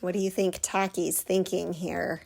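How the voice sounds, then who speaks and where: A woman reading a children's storybook aloud like a kindergarten teacher, expressively, pausing to put questions to young listeners. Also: on the low side, little pitch movement, gravelly, darkish tone.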